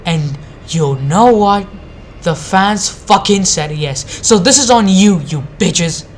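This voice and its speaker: A woman's voice: lowish voice, animated, slow pace, a little hiss or hum. A woman giving an informal, sweary video rant as a reviewer, in a blunt and sarcastic manner. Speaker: anime review video maker. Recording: music behind the voice. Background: background music.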